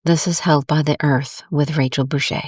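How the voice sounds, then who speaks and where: A woman guiding a meditation in a gentle, calm, unhurried way with long pauses between phrases. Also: sits low, breathy edge, no room sound, clean studio sound. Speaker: guided meditation host. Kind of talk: soothing guided meditation narration.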